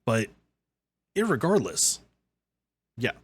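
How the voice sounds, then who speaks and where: A man with a deep, bassy voice giving sarcastic, ranting commentary on another streamer's clip. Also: sing-song, slow, husky. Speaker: commentary host. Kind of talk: reaction commentary.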